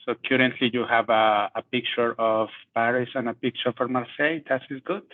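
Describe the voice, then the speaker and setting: Halting man on a tinny call. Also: mid-range voice, fairly even intonation. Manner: halting, non-native phrasing. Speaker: product manager presenting a demo. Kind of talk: live technical demo. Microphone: video call. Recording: tinny phone-like call line.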